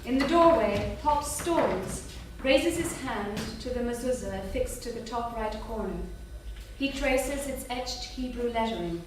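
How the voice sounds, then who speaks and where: A woman's voice: fairly high pitch, expressive, unhurried. Echoey woman narrator at a staged reading. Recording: noisy, very echoey theatre, distant mic.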